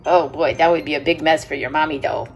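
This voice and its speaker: A woman's voice: sits low, steady tone, fast talker, husky, faint music behind. A woman teacher reading a picture book aloud to children, chatting and asking them questions about the pages. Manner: chatty, asks the children questions and laughs along.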